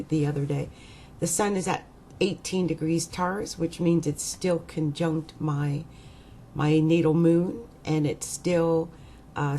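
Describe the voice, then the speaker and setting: A middle-aged woman astrologer with a croaky voice, rambling hesitantly in a casual vlog with many fillers. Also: sits low, dark tone, slight background noise.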